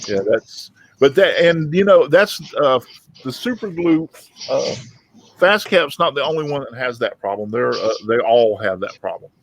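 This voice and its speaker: A man's voice: mid-range voice, lively intonation, moderate pace, no echo, poor audio. A man hosting a casual live group chat about his craft, talking in a folksy, rambling way and often repeating himself. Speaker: host of a woodworking talk show.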